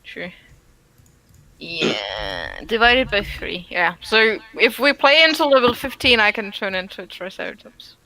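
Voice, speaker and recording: Bright young woman, chatty. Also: medium pitch, sing-song, a little slow, creaky, low-quality recording. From Denmark. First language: Danish. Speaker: player. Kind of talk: casual chat between players.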